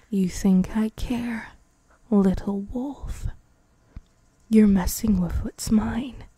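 Casting: woman playing a young vampire bride, possessive and dangerous, slow and breathy, intimate ASMR roleplay delivery. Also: a little high, dark tone, dry recording, heavily acted. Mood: cold, menacing. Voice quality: breathy, often dropping to a whisper. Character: possessive, menacing young female vampire bride, tender with her lover. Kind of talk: ASMR girlfriend roleplay, improvised.

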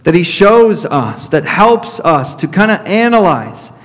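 A man in his thirties preaches from a church pulpit, taking each point slowly and going back over it. His voice sits a touch high for a man, and the sound rings with the long reverb of a church sanctuary. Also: big pitch swings. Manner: slow, deliberate teaching with repeated points. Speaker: pastor. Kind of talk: expository sermon. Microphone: fixed camera at middle distance.

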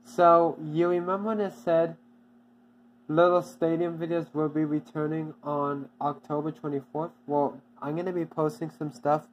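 A channel owner giving a casual, off-the-cuff update, halting and repeating itself often with many restarts. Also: mid-range voice, flat delivery, highs cut off.

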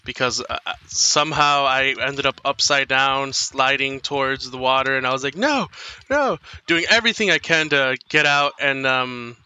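A man's voice: mid-range voice, expressive, moderate pace, sharp tone, smooth. A young man with no accent commentating his game at home, rambling and apologizing as he tells self-mocking stories. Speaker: gaming streamer. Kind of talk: casual let's play commentary.

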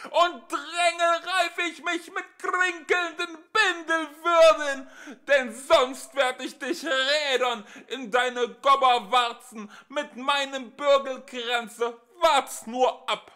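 Shrill, grating alien poet. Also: male voice, steady tone, slow, pushed and bright, heavily acted. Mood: ranting. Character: pompous shrieking male alien poet.

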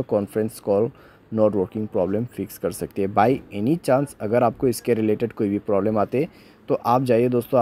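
A man's voice: fairly low pitch, dark tone. A man giving a step-by-step phone troubleshooting tutorial as a voice-over in an instructional way. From India. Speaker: tech tutorial host.

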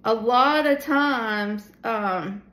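A tired woman in her forties leading a casual Bible study from a small room at home, talking into a phone held at arm's length, with many pauses and fillers. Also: a little high, a bit bright, echoey room. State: tired at the end of the day. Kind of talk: casual devotional teaching. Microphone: phone camera at arm's length.

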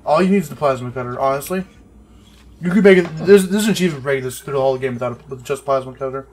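A man's voice: medium pitch, very fast, a little mellow, slight background noise. A man playing a video game and commenting casually, in terse remarks with some swearing. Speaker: let's play co-host, playing the game. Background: horror game sound.

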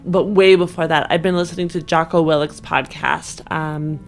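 A woman speaks casually as a podcast co-host. Her voice is a little on the low side, and music plays softly behind it. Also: fairly even intonation, normal speed. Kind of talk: casual chat.

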